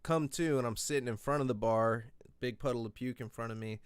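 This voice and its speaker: A man's voice: mid-range voice, a little fast, clean tone, dry recording. A man in his late twenties hosting a podcast, telling a rowdy story in casual, profane chat. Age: 20s. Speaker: podcast host.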